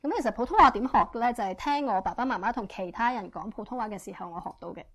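A woman interprets a church testimony phrase by phrase and keeps up with the speaker. She talks fast and fluently, with frequent fillers. Her voice is a little higher than most women's. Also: expressive. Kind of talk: consecutive interpretation.

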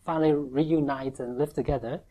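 A woman gives a sermon in short, halting phrases, pausing often so an interpreter can follow. Her voice sits extremely low for a woman, and her delivery is measured and unhurried. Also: fairly even intonation, warm tone, poor audio. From Taiwan. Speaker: preacher giving testimony.

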